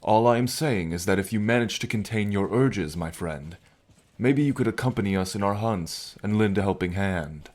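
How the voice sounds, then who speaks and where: A man playing composed Norse god, lowish voice, measured, sardonic, needling, fantasy audio drama. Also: darkish tone, lightly acted. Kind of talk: fantasy audio roleplay acting.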